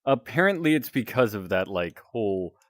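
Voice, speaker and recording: A man with a deep, announcer-like voice, chatting casually with viewers while he plays a game. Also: normal speed, dry recording, studio quality. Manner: relaxed, rambling, chatting to viewers. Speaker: streamer.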